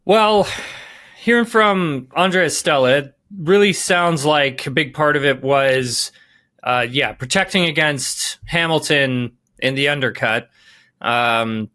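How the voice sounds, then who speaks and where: A man's voice: fairly high pitch, takes it slowly, sharp tone. A man giving podcast sports analysis, emphatic, rephrasing and repeating key words as he goes.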